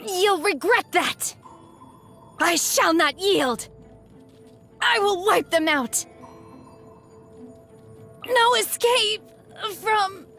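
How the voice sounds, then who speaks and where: An actress playing a stoic, reserved young female exorcist in a video game, speaking formally and with soft hesitance. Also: extremely high pitch, animated, faint music behind, heavily acted. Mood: fierce battle cries. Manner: measured, formal, softly hesitant, detached. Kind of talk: video game character voice lines.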